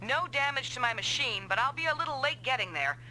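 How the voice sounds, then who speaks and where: An actress playing a brisk, commanding female mech pilot and team leader in an anime-style game dub. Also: high-pitched, animated, background noise.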